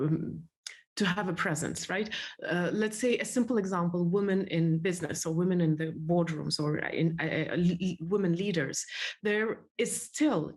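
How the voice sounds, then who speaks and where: A woman from the former Soviet Union, a podcast guest who speaks in a thoughtful way, pausing to search for words. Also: fairly low pitch, a little mellow. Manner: thoughtful, many fillers and restarts while searching for words. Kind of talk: reflective interview talk.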